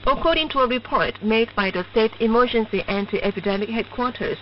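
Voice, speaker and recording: A woman with a Korean accent reading formal scripted news over shortwave radio in a measured, stiffly official manner. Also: a little high, distant mic. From North Korea. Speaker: newsreader. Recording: muffled noisy shortwave radio with hum.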